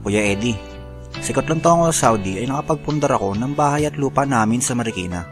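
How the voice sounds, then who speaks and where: A man's voice: mid-range voice, lively intonation, quick pace, natural voice. An actor playing a sorrowful man in his forties, a jailed former overseas worker narrating his letter in a radio drama. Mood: sorrowful, reflective. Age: 40s. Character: Naldo, 45-year-old imprisoned former overseas worker confessing his sorrows. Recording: music under the voice.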